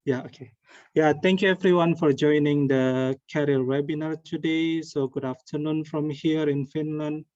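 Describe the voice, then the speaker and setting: Young guy from indonesia presenting on a video call, mellow kinda dull audio, earnest. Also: fairly high pitch, fairly even intonation, no room sound. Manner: earnest, with pauses and restarts. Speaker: webinar presenter. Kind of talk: online presentation.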